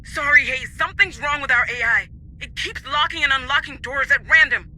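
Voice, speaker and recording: An actress playing a harried, blunt and loyal military comrade, speaking urgently in a sci-fi horror game. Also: female voice, high voice, a little fast, pushed and bright, poor audio. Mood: frustrated.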